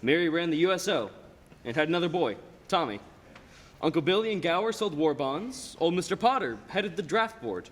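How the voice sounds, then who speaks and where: A young man, a high school actor, playing a patient boss recounting a life story in a measured radio play style. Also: lively intonation. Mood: measured, storytelling. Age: young adult. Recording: hall reverb, faint noise, live stage.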